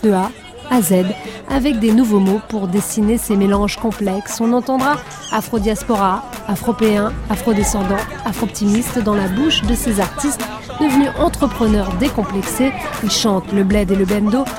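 Woman, documentary narrator, mid voice, measured lyrical read, music bed. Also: mid-range voice, crowd noise. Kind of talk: scripted radio documentary narration. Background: clear music.

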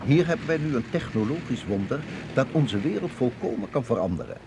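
Formal colonel, animated over vehicle noise. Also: male voice, mid-range voice, fairly quick. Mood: probing, earnest. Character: confident, earnest male intelligence colonel, courteous and watchful. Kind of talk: Dutch radio drama acting. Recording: clear vehicle noise behind voice.

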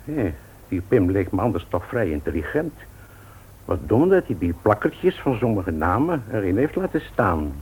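An actor playing a shrewd, stern uncle with a knowing, pointed way of talking in a Dutch radio play. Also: male voice, fairly low pitch, sing-song, moderate pace, a little mellow, slightly rough, low-quality recording. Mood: shrewd, dry. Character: shrewd, stern older uncle exposing a wrongdoing.

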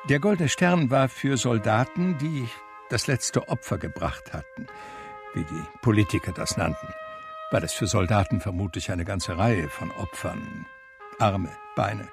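An actor playing a reflective man who tells his life story in measured literary radio drama narration. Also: lowish voice, animated, vocal fry. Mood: sober, reflective. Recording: music under the voice. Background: music.

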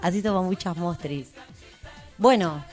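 A woman's voice: fairly low pitch, measured pace, a little mellow, soft background music. A woman with a Rioplatense Argentine accent co-hosting a casual radio chat in a playful, teasing way. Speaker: radio co-host.

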